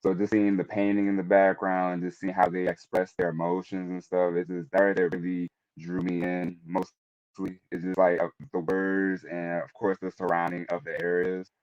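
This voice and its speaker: Very deep-voiced man, hesitant, dull dry audio. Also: monotone, darkish tone, clear, resonant tone, no room sound. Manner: hesitant, halting. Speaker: panelist. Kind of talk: informal spoken reflection.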